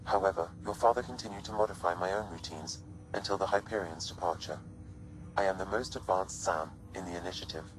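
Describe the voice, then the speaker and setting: A man voicing a calm, measured artificial intelligence companion in a video game, speaking with precise, formal phrasing. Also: low-pitched, background noise, natural voice. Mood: calm, explanatory. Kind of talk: scripted video game dialogue. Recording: music behind the voice.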